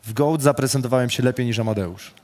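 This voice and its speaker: Polish guy hosting, reads out statements flat and blunt, swears a lot, mid voice. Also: medium pitch, fast talker. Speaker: host reading out statements. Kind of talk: rapid-fire question round.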